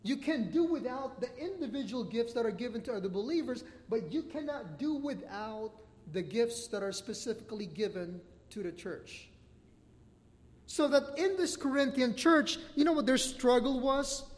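A Filipino-accented man in his middle years preaches from the pulpit, his voice sitting high for a man. He talks animatedly and laughs often between points. His words ring out with a long reverb from the church hall. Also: very animated, normal speed. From Philippines. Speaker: pastor. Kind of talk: sermon.

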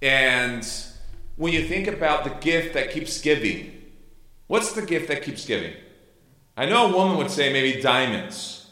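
Bright-voiced Chilean man preaching in an echoey room. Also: medium pitch. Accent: Chilean Spanish. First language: Spanish. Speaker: missionary pastor, guest speaker. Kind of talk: informal sermon and testimony to a congregation. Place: church hall during a service.

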